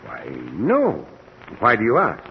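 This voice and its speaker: Earnest radio-drama doctor, long reverb. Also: male voice, fairly low pitch, huge pitch swings, takes it slowly, gravelly, full character voice. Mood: solicitous. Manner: solicitous, probing, emphatic. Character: earnest, insistent middle-aged male doctor, a concerned old friend. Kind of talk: old-time radio drama acting. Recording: dull reverberant vintage radio recording, faint noise.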